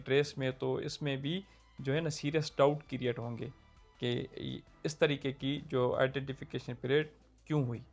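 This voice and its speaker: A young man with a Pakistani Urdu accent explains a point of law in a casual, conversational lesson, often checking in with his listeners. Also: medium pitch. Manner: conversational, checks in with "theek hai". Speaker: law lecturer.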